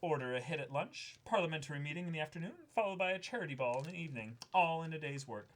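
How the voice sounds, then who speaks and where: A young man with a bright, forceful voice and very lively intonation reads a letter aloud. He slips in casual asides as a show co-host and sometimes trips over a word and corrects himself. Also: a little high, racing. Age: young adult. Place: small indoor room, seated before a backdrop.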